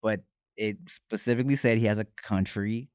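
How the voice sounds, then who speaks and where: A man co-hosting a podcast, telling stories in a casual, animated way and swearing freely. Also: fairly low pitch, a little fast, smooth, dry recording, clean studio sound. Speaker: podcast co-host. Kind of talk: casual chat.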